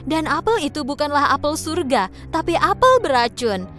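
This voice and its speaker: Female narrator for a children's cartoon, expressive storybook reading with a lively, fairly high voice. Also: moderate pace, faint music behind.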